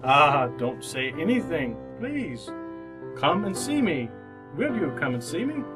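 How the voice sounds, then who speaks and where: A man acts out a reformed old miser in a radio play, giddy and gruffly teasing. The voice sits fairly high and swoops widely, theatrical and exclamatory, with some music around it. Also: big pitch swings, a little slow. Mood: earnest, joyful. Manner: theatrical, exclamatory, swinging from hushed to booming. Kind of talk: old-time radio drama acting.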